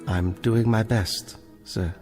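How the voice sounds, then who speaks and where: An actor playing an earnest, bookish librarian on trial in a radio drama, courteous and precise, with a defiant streak. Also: male voice, lowish voice, fairly even intonation, slow, darkish tone, soft background music. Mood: polite, puzzled. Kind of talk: dramatic radio play acting.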